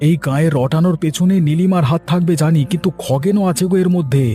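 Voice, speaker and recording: A man voicing an excitable, dutiful village husband in a cartoon, with faint music behind. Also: a little high, fast talker, natural voice. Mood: agitated, earnest. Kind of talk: Bengali cartoon voice acting.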